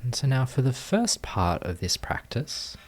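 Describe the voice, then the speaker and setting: A man leading a guided meditation, giving gentle, calm instructions in measured phrases with long pauses. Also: medium pitch. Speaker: meditation teacher.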